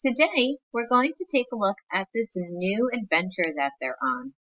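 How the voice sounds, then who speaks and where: A woman's voice: medium pitch, very animated, moderate pace, lo-fi sound. A woman teacher reading a picture book aloud to young children, patiently sounding out words.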